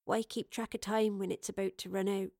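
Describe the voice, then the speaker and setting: A woman's voice: medium pitch, measured pace, no echo, studio quality, close to an everyday voice. Woman voicing a homely, wistful old working-class woman. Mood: gentle, resigned. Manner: homely, colloquial, wistful speech. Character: Evie, weary kindly working-class old woman of far future.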